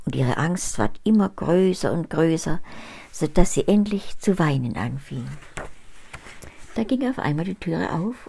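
An elderly woman reads a fairy tale aloud in a cozy home study full of books. Her voice is gravelly and husky and sits fairly low. She storytells with a lively, rising and falling melody. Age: older, about 70s. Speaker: storyteller reading fairy tales.

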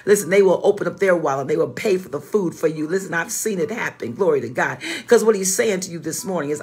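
A woman's voice: fairly low pitch, slightly rough. A woman pastor delivers a morning devotional in a fervent, repetitive preaching style. She stumbles into restarts and peppers every line with "my God" before landing emphatic declarations. Faint music plays behind her. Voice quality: fervent, repetitive exhortation with frequent "my God" interjections.

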